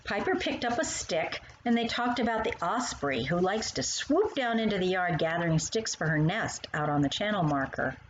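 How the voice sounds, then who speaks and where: A woman narrating a children's story as its author, in an expressive style that acts out the dialogue. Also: lowish voice, normal speed, few pauses, highs cut off, slight background noise.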